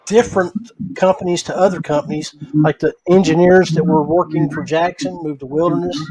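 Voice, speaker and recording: A man's voice: on the high side, fairly even intonation, a little fast, darkish tone, husky, low-quality recording. A man hosting a casual podcast discussion, talking in a conversational, anecdotal way. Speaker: podcast host.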